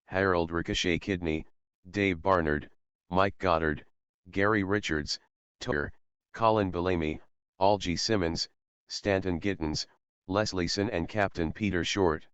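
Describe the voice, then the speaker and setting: Man, narrator, low smooth voice, scripted historical reading, dry lo-fi recording. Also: fairly even intonation, a little slow, fairly bright tone, dry recording. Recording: dry but dull, lo-fi sound.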